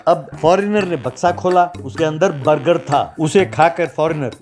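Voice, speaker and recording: A male storyteller reads a scripted comic tale. His voice is a little higher than average for a man, and music plays underneath the narration. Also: a little slow, darkish tone.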